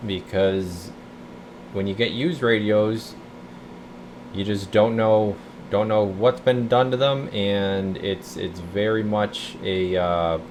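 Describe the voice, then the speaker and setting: A man's voice: on the low side, fairly even intonation, takes it slowly, darkish tone, slight background noise. A man giving a casual, folksy, rambling walkthrough as a repair technician, thinking aloud as he goes. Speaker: radio repair technician presenting his repair videos. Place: radio repair workshop.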